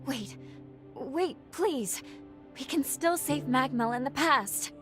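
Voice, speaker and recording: An echoing, slightly distant voice of a determined time traveler from a video game. She speaks with earnest, dramatic conviction. Music plays clearly behind her. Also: sits high, animated, slow pace. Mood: pleading, resolute. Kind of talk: video game cutscene acting.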